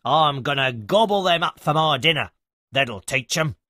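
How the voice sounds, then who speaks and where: A man voicing a grumpy, hungry male troll in a storybook, loud and growling. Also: on the high side, lively intonation, moderate pace, no echo, clean studio sound, voice pushed up. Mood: grumpy menacing. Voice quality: growling, grumbling.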